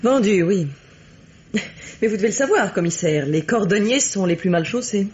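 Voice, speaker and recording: An actress playing a guarded, formally polite bourgeois wife and hostess in a radio mystery. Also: female voice, mid-range voice, expressive, normal speed, darkish tone, natural voice. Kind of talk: old French radio mystery play acting. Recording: muffled, echoey, noisy old radio recording.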